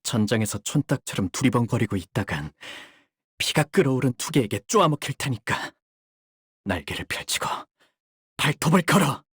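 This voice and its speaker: Battle-hungry young game warrior, very breathy, dry close sound, formal, theatrical, bloodthirsty. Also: male voice, medium pitch, very animated, moderate pace, no echo. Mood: fierce, intense. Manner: polite, formal speech breaking into fierce intensity. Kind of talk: Korean game character voice lines, theatrical.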